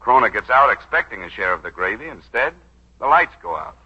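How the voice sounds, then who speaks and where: An actor playing a brash, wry lawyer who schemes and smooth-talks, in 1940s radio drama style. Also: male voice, medium pitch, animated. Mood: earnest, scheming. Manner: wry, fast-witted, smooth-talking. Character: brash, wisecracking young lawyer turned schemer, slick and probing. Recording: dull 1940s radio broadcast recording.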